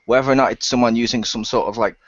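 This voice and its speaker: A man's voice: mid-range voice, fairly even intonation, quick pace, dry recording, dull audio, close to an everyday voice. A man playing a skeptical, wisecracking ghost hunter recruit in an improvised role-play radio play, chatty and sarcastic.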